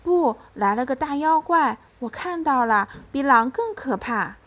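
Lady doing a scared little boy from a picture book, earnest n hesitant, really lively pitch. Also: unhurried. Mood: nervous, hesitant. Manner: breathless, hesitant, hushed when scared. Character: small frightened, earnest boy, bedtime storybook hero.

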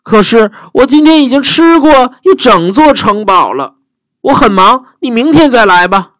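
A man voicing a loud boastful storybook dragon. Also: very high-pitched, big pitch swings, normal speed, no echo, voice pushed up, heavily acted. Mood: dismissive. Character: boastful busy male dragon, brushing off visitors.

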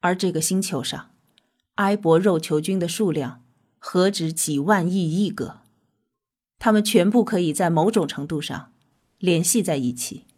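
An actress playing a composed, authoritative scientist in an audio drama, explaining in a measured, instructive way. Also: female voice, lowish voice, darkish tone, slightly rough. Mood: grave, intent. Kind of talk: scripted audio drama dialogue.

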